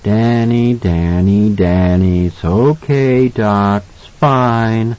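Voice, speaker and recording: A man voicing a gentle, worried, protective young mother in an audiobook, speaking in a soft, coaxing way. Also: mid-range voice, huge pitch swings, brisk, voice pushed up. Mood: soothing. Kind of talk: audiobook character voice. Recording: slightly dull, lo-fi audio.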